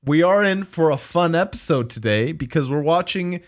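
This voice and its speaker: A man co-hosting a casual show of reactions to anime, easygoing and relaxed, often dropping yeah and man. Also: fairly high pitch, normal speed. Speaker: co-host.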